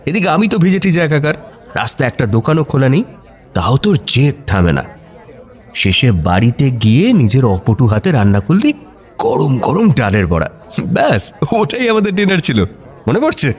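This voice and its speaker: An actor playing a playful, pleading young husband in a radio romance, teasing and insistent in a conversational way. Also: male voice, medium pitch, very animated, a little fast, close to an everyday voice. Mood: nostalgic, animated. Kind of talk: Bengali radio romance acting. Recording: music bed under the voice.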